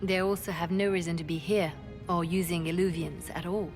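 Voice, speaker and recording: A calculating, cool-headed spymaster from a fantasy video game, played by a woman. Her voice sits fairly low. There is faint music behind her. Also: steady tone, a little fast, darkish tone, close to an everyday voice. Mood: cool, resolute. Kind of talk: video game dialogue acting.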